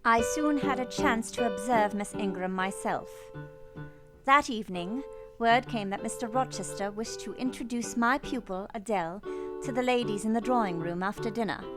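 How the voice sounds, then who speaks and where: A woman's voice: on the high side, moderate pace, over music, lightly acted. A woman playing an earnest young governess in a radio play, both narrating and speaking with polite deference. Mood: reflective.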